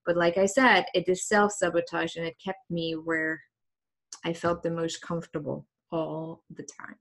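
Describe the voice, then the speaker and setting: A woman's voice: fairly low pitch, level tone, moderate pace, a bit bright. A woman talking openly in a casual interview, reflective and thoughtful, with frequent ums and restarts. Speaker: host.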